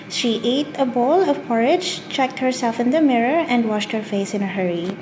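A woman in her twenties with a Filipino accent, whose first language is Tagalog. She reads a story carefully, then talks about herself in measured speech with frequent "um" fillers. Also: a little high, background noise. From Philippines. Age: 20s. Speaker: dialect archive speaker. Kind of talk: reading a passage, then casual self-description.

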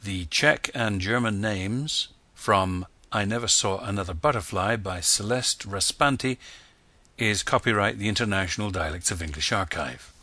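A man's voice: on the low side, moderate pace, pushed and bright, no echo. A man giving a plain, formal introduction for an archive recording. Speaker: archive announcer.

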